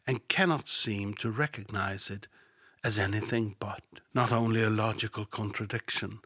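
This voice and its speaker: A man's voice: lowish voice, fairly bright tone, no echo, clean studio sound. A man hosting a satirical Bible commentary podcast, sardonic and mocking, sometimes dropping to a whisper for asides. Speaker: podcast host.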